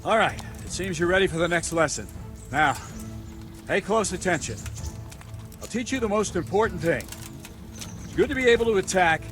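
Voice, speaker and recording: A medieval sword instructor from a video game, stern and exacting. His voice sits high for a man and swings widely in pitch. He talks bluntly and commandingly, teaching as he goes, with music behind him. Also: animated, normal speed, long pauses, a bit bright. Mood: stern, approving. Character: stern, demanding medieval swordmaster drilling a pupil. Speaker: combat trainer.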